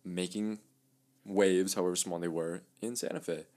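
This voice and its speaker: A young man with a very low voice talks through a relaxed podcast chat. He rambles with fillers and laughs easily. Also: normal speed. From Mexico. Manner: chatty, laughs often, many fillers. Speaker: podcast co-host. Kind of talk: casual chat.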